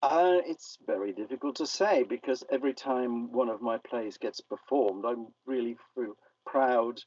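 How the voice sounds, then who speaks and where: An older man giving a casual, reflective interview as a playwright, talking in a halting, self-effacing, wordy way. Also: mid-range voice, darkish tone, dry recording. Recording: dull, muffled, distant radio-like sound.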